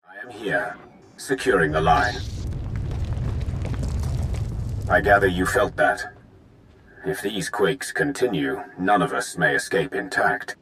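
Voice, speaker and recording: An actor playing a grave Sith strategist in a video game, measured, stern and formal. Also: male voice, sits low, lively intonation, a bit bright, a little hiss or hum. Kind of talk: video game dialogue acting.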